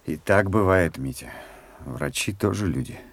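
An actor playing a calm, guarded middle-aged doctor with a gravelly, husky voice who answers tersely, in a studio radio play. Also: male voice, measured pace, heavily acted. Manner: terse, guarded, low-key.

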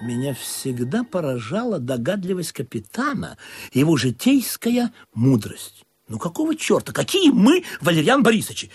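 A man narrating a radio play in an ironic, expressive storytelling manner. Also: a little high, husky.

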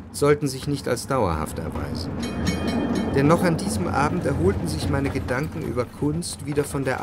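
An actor playing a refined, jealous, brooding man who narrates his memories in a measured, articulate radio play performance. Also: medium pitch, background noise, natural voice.

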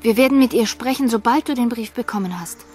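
High-voiced, insistent young woman in love actress. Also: a little fast, darkish tone, soft background music, lightly acted. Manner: insistent, direct. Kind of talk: radio play acting.

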